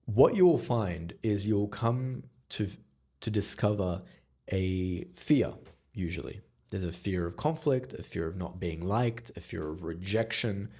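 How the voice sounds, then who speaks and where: A man hosting a solo advice podcast as a communication coach, speaking directly and motivationally, deliberately letting his volume and pace rise and fall for emphasis. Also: sits low, expressive, dark tone.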